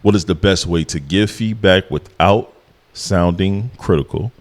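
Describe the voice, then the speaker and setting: A man co-hosting a podcast, with a deep voice that carries, talking in a relaxed, rambling way with lots of fillers. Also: a little slow. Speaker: podcast co-host. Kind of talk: casual chat.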